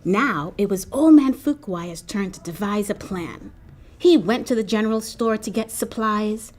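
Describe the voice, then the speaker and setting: A woman reading a children's picture book aloud with expressive, animated storytelling. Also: medium pitch, unhurried. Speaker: storybook reader.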